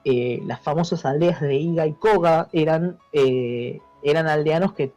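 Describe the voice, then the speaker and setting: Mellow Argentine man explaining, music behind. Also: a little high, measured pace. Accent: Argentine Spanish. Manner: didactic, checks understanding with tag questions. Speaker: interview guest, history teacher. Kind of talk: informal explanatory interview. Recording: dull lo-fi audio with music behind.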